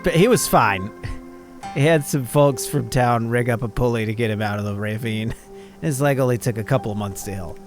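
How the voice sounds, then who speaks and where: A man's voice: medium pitch, normal speed, close to an everyday voice. Casting: male reader as chatty young female cryptid hunter, visual novel, carefree rambler, music behind. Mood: breezy. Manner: breezy, rambling, shrugs off danger. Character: chatty, upbeat young woman who hunts cryptids. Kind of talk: reading visual novel dialogue aloud.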